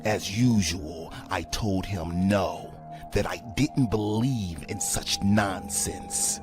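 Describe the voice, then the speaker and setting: A man reading a scripted first-person horror story in a hushed, often breathy delivery. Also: low voice, takes it slowly. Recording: prominent background music under voice. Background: music.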